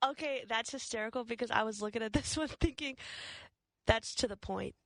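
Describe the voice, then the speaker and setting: A woman's voice: fairly high pitch, a little fast, a bit bright, no room sound. A woman hosting a financial advice show, explaining money matters in a conversational style.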